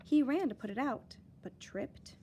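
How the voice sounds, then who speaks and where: Expressive woman reading a picture book. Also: sits high, measured pace, background noise. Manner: expressive, lively storytelling with dramatic emphasis. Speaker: teacher reading aloud. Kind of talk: children's picture book read-aloud.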